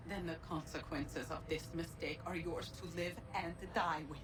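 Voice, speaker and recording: A woman playing an ancient godlike being in a video game, speaking in a solemn, formal, measured and regretful way. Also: low voice, fairly even intonation, background noise, close to an everyday voice. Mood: urgent, pleading. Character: ancient female godlike precursor, grave and regretful. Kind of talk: dramatic video game cutscene acting.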